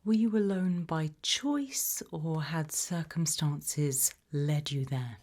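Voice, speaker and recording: A British woman whispers in a low, mellow, breathy register. She takes her time, putting careful, calm questions as an interviewer in an ASMR roleplay. The delivery is soft and measured throughout. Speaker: ASMR roleplayer playing an abduction investigator.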